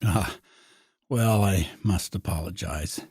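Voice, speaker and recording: A man with a husky voice talking in a wry, musing way as a podcast host. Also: deep voice, takes it slowly, darkish tone, no room sound, studio quality. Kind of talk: conversational podcast discussion.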